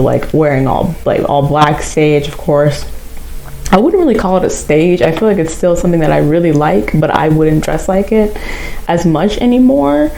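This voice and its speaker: A woman's voice: low-pitched, mellow, rough recording. A nineteen-year-old woman chatting casually to her channel viewers, rambling with fillers and little giggles. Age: young adult. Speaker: vlogger. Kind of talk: casual vlog chat.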